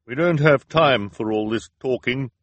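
A man's voice: mid-range voice, a little slow, no room sound, dull audio, pitched above the performer's own voice, close to an everyday voice. A man voicing an anxious young boy in disguise in a storybook, speaking urgently and insistently.

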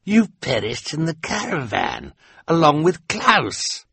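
A man's voice: on the high side, huge pitch swings, takes it slowly, gravelly, pushed and bright, husky, dry recording, voice pushed up, heavily acted. A male narrator voicing a vain, cruel, theatrical villain who sneers and barks orders. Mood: sneering.

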